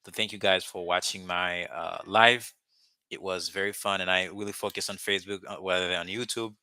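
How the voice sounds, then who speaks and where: A man from Haiti whose first language is Haitian Creole talks to his live-stream viewers in a loose, rambling way, full of ums and restarts. His voice sits low but sounds sharp and bright. The sound is close and dry, with no room echo. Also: steady tone, fairly quick. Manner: rambling, many fillers and restarts, chatty with viewers. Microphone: webcam or phone mic, speaking to camera.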